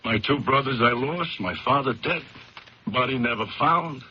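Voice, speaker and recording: A man's voice: mid-range voice, moderate pace, bright, forceful tone. An actor playing an earnest reformed ex-convict turned businessman, streetwise, ungrammatical and insistent, in a 1970s radio drama. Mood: defensive, insistent. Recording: muffled, noisy 1970s radio broadcast.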